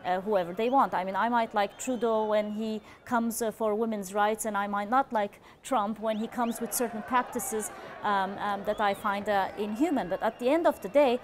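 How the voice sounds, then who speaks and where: A woman's voice: medium pitch, normal speed, a little hiss or hum. A woman giving political opinion on a live news panel, speaking hesitantly with many fillers. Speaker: opinion editor, panel guest. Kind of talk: live studio panel analysis.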